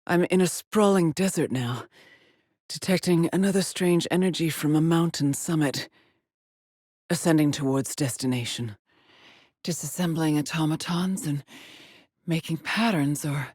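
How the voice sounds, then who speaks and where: An actress playing a weary, haunted woman space explorer in a video game, speaking in brooding, deliberate monologue with a husky, breathy voice that often drops to a whisper. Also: low-pitched, a little mellow, dry recording, studio quality. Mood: brooding, analytical. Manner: slow, deliberate, cryptic pronouncements. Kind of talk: dramatic video game monologue, log-like narration.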